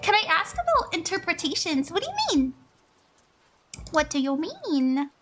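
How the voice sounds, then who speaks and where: Woman, live-stream psychic, casual chat, lively sing-song voice, faint music behind. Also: very high voice, normal speed. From Hawaii. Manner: giggly, bubbly, thanks viewers often.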